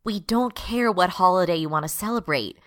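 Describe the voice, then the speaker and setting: A woman's voice: lowish voice, expressive, fairly quick, lightly acted. A woman playing an exasperated non-binary retail worker turned rebel leader, ranting in a radio drama monologue.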